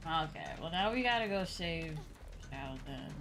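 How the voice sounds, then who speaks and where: A woman's voice: low-pitched, lively intonation, measured pace. A woman VTuber streamer reacting casually to a game and chatting with viewers live. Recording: game music faintly behind.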